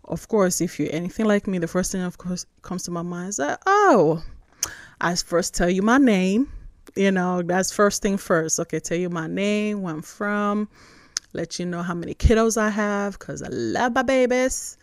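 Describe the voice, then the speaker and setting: A woman in her forties hosts a faith podcast, speaking at a middling pitch. She talks conversationally and with emphasis, often posing questions to herself and the listener. The sound is dry, with very little room around it. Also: lively intonation, normal speed, dry recording. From born in New York, raised in Nigeria. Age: 40s. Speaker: podcast host, church spiritual formation director. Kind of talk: casual devotional podcast talk.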